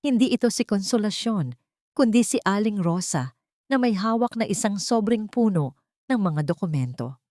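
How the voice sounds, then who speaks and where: A woman's voice: medium pitch, animated, normal speed, dark tone, no room sound, clean studio sound. A woman narrator reading a scripted, dramatic love story.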